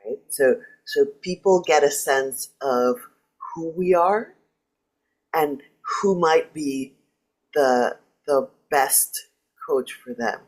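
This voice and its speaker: A woman whose first language is Spanish, chatting casually and playfully as a leadership coach. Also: low-quality recording. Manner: lively, playful, quick jumps in pitch. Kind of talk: casual chat.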